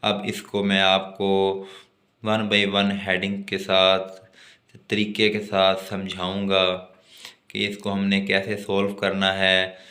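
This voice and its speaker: A man with a Pakistani accent lectures on law in a lowish, very bright and forceful voice. He explains in a didactic way, moving between his own explanations and formal text read out for students. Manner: didactic, switching between Urdu explanation and slow English reading. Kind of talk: exam lesson, explaining and reading out legal text.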